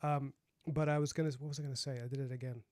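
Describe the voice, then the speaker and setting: A man's voice: mid-range voice, level tone, very fast, a little mellow. A young man with a light, youthful voice, chatting casually on a livestream and rambling with lots of fillers. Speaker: streamer and comic artist.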